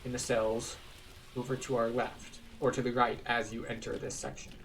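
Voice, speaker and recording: Relaxed man explaining a game. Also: medium pitch, normal speed. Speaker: game streamer. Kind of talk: casual game walkthrough commentary.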